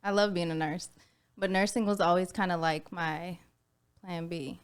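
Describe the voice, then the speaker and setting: A young woman talking softly and easily as a podcast guest, telling her story in a laid-back way. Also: fairly low pitch, steady tone, moderate pace, smooth. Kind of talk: casual conversation.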